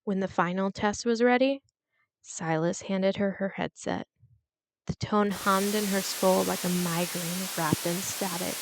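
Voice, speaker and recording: A woman's voice: mid-range voice, fairly even intonation, unhurried, a little hiss or hum, rough recording. A woman reading a scripted horror story as its narrator.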